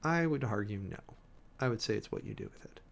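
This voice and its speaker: A man's voice: lowish voice, expressive, brisk, creaky. A man hosting a livestream, reading a formal document aloud and commenting off the cuff in an informal, emphatic way. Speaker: livestream host.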